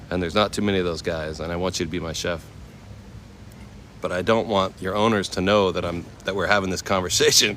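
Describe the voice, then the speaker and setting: A man's voice: on the low side, a bit bright. An American man in his forties telling stories casually to live viewers in laid-back, slangy talk while walking outdoors. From United States, West Coast. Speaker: chef and livestreamer. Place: walking outdoors in a temple complex. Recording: noisy outdoor ambience, passing vehicles.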